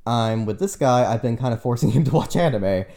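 A man's voice: medium pitch, animated, fairly quick, warm tone. A man in his late twenties, a teacher guesting on a podcast, chatting casually with lots of fillers and restarts. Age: 20s. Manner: rambling, self-deprecating, frequent restarts and fillers.

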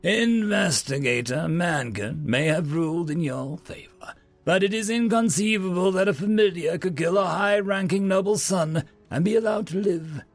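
A male narrator plays a haughty old magistrate, gruff and gravelly. He speaks with pompous, boastful menace, his pitch lifted and swinging, in an audiobook fantasy. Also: lively intonation, moderate pace, faint music behind. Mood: indignant.

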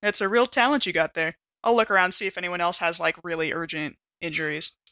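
A woman's voice: fairly low pitch, steady tone, fast, sharp tone. A woman chatting casually as a player in a tabletop role-playing game, improvising her actions.

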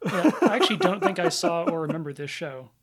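A man's voice: on the high side, huge pitch swings, a little fast, a loud crowd. A man co-hosting a podcast, chatting casually and reacting to the others. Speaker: podcast co-host. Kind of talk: casual chat.

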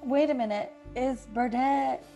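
A woman's voice: high-pitched, measured pace, creaky, warm tone, soft background music. A woman playing a dry, sardonic young college student who babysits, in a comedic radio play. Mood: resigned, wry.

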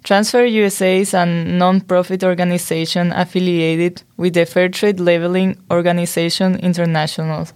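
A woman's voice: fairly low pitch, darkish tone. A young woman from Spain, co-hosting a student podcast and reading from notes with small stumbles. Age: young adult. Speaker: podcast co-host.

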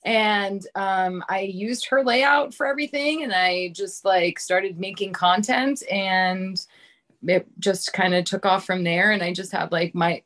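A woman's voice: mid-range voice, measured pace, a bit bright. A woman in her thirties speaking as a podcast guest in casual, candid conversation, informal and self-deprecating, often saying "like". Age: 30s. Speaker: podcast guest, comedian and content creator.

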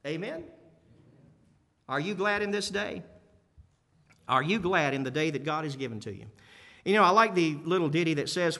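A male preacher with a fairly high, very bright and forceful voice delivers a sermon in a hall with long reverb. He talks to his listeners conversationally, leaning on key phrases and repeating them for emphasis. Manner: conversational, rhetorical questions to listeners. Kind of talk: sermon to a congregation.

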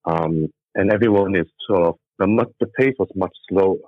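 Low-voiced man with a Hong Kong accent. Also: fairly quick, dry recording. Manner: easygoing storytelling, thinking aloud. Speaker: guest. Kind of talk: casual interview conversation. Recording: dry tinny phone-like line.

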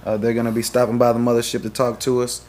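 A man's voice: medium pitch, completely flat delivery, quick pace, a little mellow. A man co-hosting a casual podcast, brash and hyped, swearing freely. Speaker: podcast co-host. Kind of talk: casual banter.

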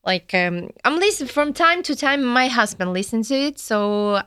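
Smooth-voiced cheerful Ukrainian woman. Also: fairly high pitch, expressive, normal speed, a bit bright, no echo. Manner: cheerful, giggly. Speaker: interview guest, student care manager. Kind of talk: casual interview chat.

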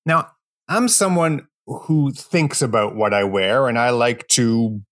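A man hosting an interview podcast, speaking in a measured, deliberate way. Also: mid-range voice, no room sound, clean studio sound. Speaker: podcast host interviewing a guest. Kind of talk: podcast introduction and conversational interview.

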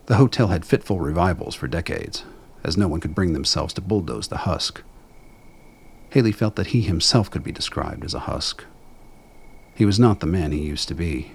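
A man with a gravelly voice reading scripted dramatic narration in a measured, brooding storytelling manner. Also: low voice, expressive, slight background noise.

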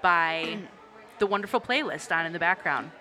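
Woman, bright voice, podcast co-host, casual chat, giggly delivery, coffee shop setting. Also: on the low side, animated, moderate pace, some chatter behind. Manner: giggly, laughs through her sentences.

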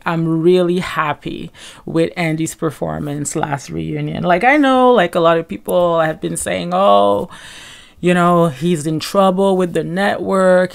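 A young woman hosting a casual gossip podcast, her voice a little raspy from a sore throat, talking in a chatty, animated way with lots of fillers. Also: on the low side. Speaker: podcast host.

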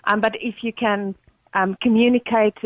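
A woman's voice: medium pitch, steady tone, moderate pace, dry recording. A South African woman with an Afrikaans accent calling a radio show, polite and agreeable with frequent hesitant fillers. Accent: Afrikaans-influenced South African. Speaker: caller. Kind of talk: phone-in call. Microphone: telephone. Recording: muffled phone line.

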